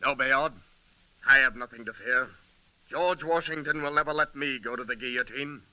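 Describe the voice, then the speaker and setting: An actor playing a proud, trusting and defiant American revolutionary in 1930s radio drama style. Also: male voice, mid-range voice, normal speed, lightly acted, poor audio. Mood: confident, defiant.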